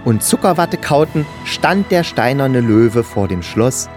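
A man's voice: medium pitch, lively intonation, measured pace, darkish tone, soft background music. A man giving scripted narration of a fairy tale for children.

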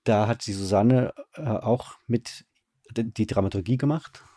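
Low, husky, mellow man explaining casually. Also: a little slow, no echo. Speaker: radio drama director, interview guest. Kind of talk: casual explanatory interview talk.

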